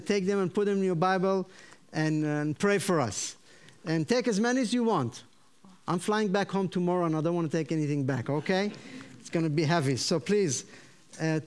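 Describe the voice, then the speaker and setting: This is a man with an Israeli accent, a native Hebrew speaker, giving a sermon. His voice sits high and lifts when he teaches the Hebrew words to the room. He hesitates often with fillers. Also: moderate pace, clear, resonant tone. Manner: hesitant with many fillers, then animated teaching. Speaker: visiting pastor. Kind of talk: sermon to a congregation.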